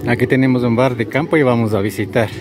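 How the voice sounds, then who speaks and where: A man's voice: medium pitch, fairly even intonation, fast talker, a crowd in the background. A man, hosting a casual outdoor travel vlog in a tour-guide style. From Ecuador. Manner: upbeat, tour-guide style, inviting viewers. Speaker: vlogger host. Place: rural riverside hills outdoors. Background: music.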